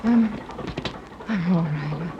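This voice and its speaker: A woman's voice: fairly low pitch, very slow. A woman acting a gracious but anxious mother in command of a rescue boat in a 1930s radio serial. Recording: muffled, noisy old 1930s radio recording.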